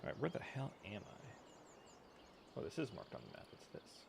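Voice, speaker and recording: A man's voice: medium pitch, huge pitch swings, moderate pace, vocal fry, noisy background. A man livestreaming a video game, muttering casual commentary to himself with sarcastic grumbles and plenty of swearing. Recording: loud game music behind the voice. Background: loud video game music.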